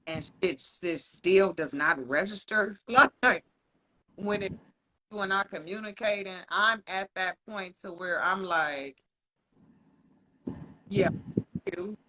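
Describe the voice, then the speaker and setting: A man co-hosting a podcast in casual chat. He talks frankly and swears a lot, laughs often, and slows down to stress his points. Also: sits high, bright, forceful tone, rough recording. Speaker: podcast co-host.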